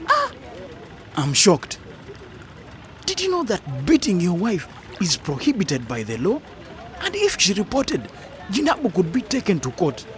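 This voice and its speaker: A man's voice: high voice, wildly swooping pitch, unhurried, pauses often, exaggerated voice. A man playing an earnest, indignant councillor in an educational radio drama, lecturing a friend in a preachy, emphatic way. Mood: shocked, admonishing.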